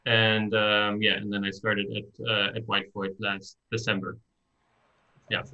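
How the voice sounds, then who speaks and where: A man's voice: fairly low pitch, monotone, unhurried, highs cut off. A man from Germany, presenting casually on a live-stream in a friendly, hesitant way. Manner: friendly, hesitant, many likes and restarts. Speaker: software developer presenting.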